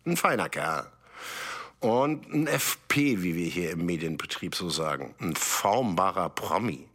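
Slick tv exec, lowish bright voice, lively pitch, self-assured interview talk. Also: male voice, a little slow, full character voice. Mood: self-assured, calculating. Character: slick, calculating male broadcast executive. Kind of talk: mockumentary interview.

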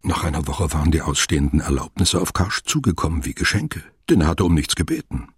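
Sober literary radio-play narrator, low register, heavily husky voice, formal and deliberate. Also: male voice, very animated, no echo, studio quality, heavily acted. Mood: sober, measured. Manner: measured, formal, wordy.

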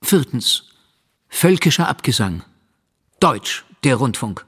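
A man reading historical quotations from a script in deliberate, clipped phrases. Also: mid-range voice, very animated, fairly bright tone, breathy edge, no room sound, clean studio sound. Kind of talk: dramatic scripted quote reading.

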